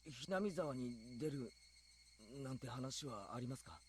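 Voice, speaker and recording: Man playing an uneasy schoolboy, quick speech. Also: medium pitch, expressive, a little mellow, a little hiss or hum, lightly acted. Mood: uneasy, hesitant. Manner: hesitant, halting phrasing. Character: earnest, uneasy teenage schoolboy. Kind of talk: Japanese visual novel voice acting.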